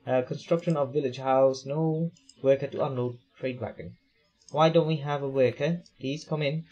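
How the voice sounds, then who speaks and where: A man's voice: mid-range voice, steady tone, moderate pace, a little mellow, highs cut off. A man with a tired, failing voice gives casual, rambling commentary on his city-building game, full of fillers and questions to himself. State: voice going hoarse. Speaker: let's play gamer.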